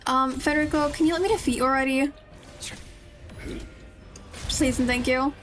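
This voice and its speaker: A woman's voice: high-pitched, fairly even intonation, moderate pace, fairly bright tone, soft background music. A woman streaming a video game, chatting casually in a rambling, playful, self-deprecating way.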